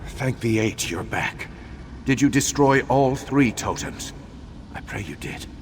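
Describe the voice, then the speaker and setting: A man voicing a bitter, grieving male spirit in a fantasy game, solemn and anguished, with a gravelly voice. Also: mid-range voice, animated, measured pace, big-room sound, a little hiss or hum, natural voice. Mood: solemn, hopeful. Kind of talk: dramatic fantasy game dialogue.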